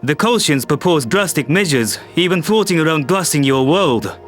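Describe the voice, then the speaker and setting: An authoritative male statesman, played by a man in a science fiction audio drama. He speaks formally and declaratively in a somewhat high voice, with soft music behind. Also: lively intonation, normal speed. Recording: music bed under voice.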